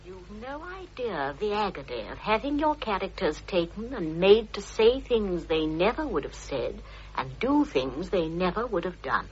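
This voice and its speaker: A woman's voice: fairly low pitch, big pitch swings, normal speed, a little mellow, slightly rough, heavily acted. An actress playing an aggrieved woman novelist in a dramatised radio reading. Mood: exasperated. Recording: dull vintage radio recording.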